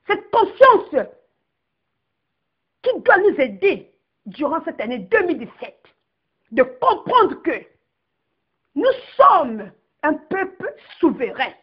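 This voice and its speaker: A woman's voice: sits high, very animated, slow, pauses often, dull audio. A woman from the Republic of the Congo giving an emphatic political address to her compatriots. She declaims and stresses each word of her long lists.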